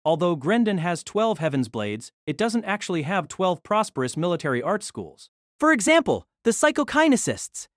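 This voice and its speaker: A man narrating a fantasy novel for an audio drama, with a fairly high voice that rises and falls a lot. His reading is steady and measured, and the recording is close and dry with no room sound. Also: dry recording.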